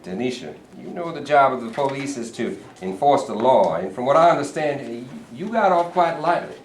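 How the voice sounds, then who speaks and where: A man's voice: mid-range voice, lively intonation, moderate pace, close to an everyday voice. An actor in a staged reading playing a sly, evasive state governor who talks down to his visitors. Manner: evasive, patronizing, mock-genial. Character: sly smooth-talking male state governor. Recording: echoey room, mic at a distance, faint hiss.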